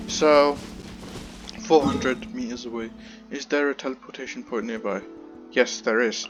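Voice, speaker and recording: A man's voice: mid-range voice, steady tone, measured pace. A man giving casual let's-play commentary as he plays through a game. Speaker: gamer commentating a playthrough. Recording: game music behind the voice.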